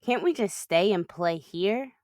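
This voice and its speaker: A woman voicing a young girl in a children's storybook, fussy yet playful and expressive. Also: mid-range voice, a little slow, dry recording, studio quality, lightly acted. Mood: reluctant. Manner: expressive, squealing when excited.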